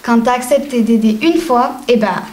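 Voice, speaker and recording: A woman's voice: on the high side, moderate pace, darkish tone. A woman in a comedy sketch, agreeing in a knowing way. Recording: echoey room, faint noise.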